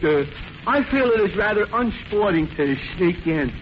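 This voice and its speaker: Dopey, high-voiced dimwit. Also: male voice, expressive, normal speed. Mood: earnest, foolish. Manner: dopey, hesitant, repeats himself. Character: dim-witted, good-natured slow-thinking male barfly. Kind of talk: 1940s radio comedy acting. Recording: muffled, hissy 1940s radio recording.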